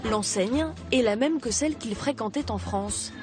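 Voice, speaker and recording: A woman reading scripted voice-over narration for a television documentary report, in a measured, even delivery. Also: fairly high pitch, music in the background.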